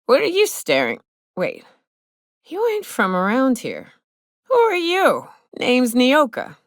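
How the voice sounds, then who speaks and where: An actress voicing a brash, hard-drinking big game hunter in a video game, with folksy, slangy, sardonic talk. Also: female voice, sits high, huge pitch swings, slow pace, no room sound, clean studio sound, full character voice. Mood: brash, tipsy. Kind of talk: video game character dialogue.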